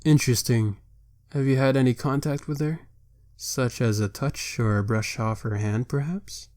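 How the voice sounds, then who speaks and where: A man's voice: mid-range voice, moderate pace, a little mellow, close to an everyday voice. A man voicing a stern vampire patriarch. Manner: commanding, formal, probing. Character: stern old vampire father leading a council. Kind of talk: amateur roleplay voice acting.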